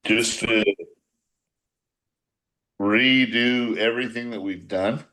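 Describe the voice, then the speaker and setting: A man's voice: medium pitch, fairly even intonation, takes it slowly, pushed and bright, poor audio. An older man in a casual, profane group chat on a video call, reminiscing like an old baseball manager with blunt, teasing banter.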